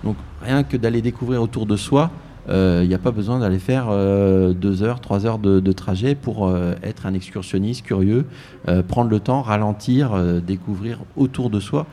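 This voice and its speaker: Low, mellow-voiced man on radio. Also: normal speed. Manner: hesitant, many fillers and restarts. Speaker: tourist office director. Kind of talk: live radio interview.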